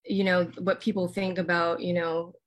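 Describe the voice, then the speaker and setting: A young American woman answering interview questions about herself, halting and full of fillers and restarts. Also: on the low side, level tone. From United States. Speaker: guest.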